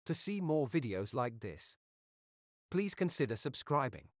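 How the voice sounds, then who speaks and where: A man narrating step-by-step software instructions as a tutorial presenter. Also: medium pitch, sing-song, unhurried, slight background noise. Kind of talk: instructional screen-recording narration.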